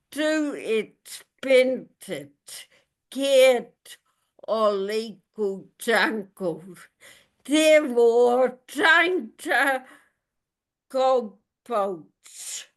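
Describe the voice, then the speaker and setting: A woman reading a poem of lament in short, halting, broken phrases with long pauses. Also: on the high side, expressive. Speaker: poet reading own work. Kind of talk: poetry reading, lament addressed to God.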